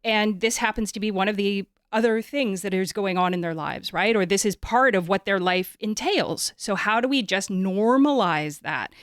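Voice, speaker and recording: A woman hosting a conversational interview, feeling her way through sentences in a halting way and repeating words as she goes. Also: mid-range voice, no room sound, studio quality. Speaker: podcast host.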